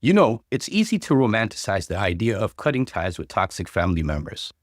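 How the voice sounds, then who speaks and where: Man, lowish voice, lively swooping intonation, emphatic storytelling host, dry studio-like sound. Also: a little fast, no room sound. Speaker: host reading and commenting on online stories. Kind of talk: scripted narration and conversational commentary.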